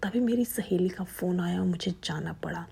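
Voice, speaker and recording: A young woman narrating a story in a steady hushed whisper. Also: fairly low pitch, normal speed, vocal fry, slightly rough.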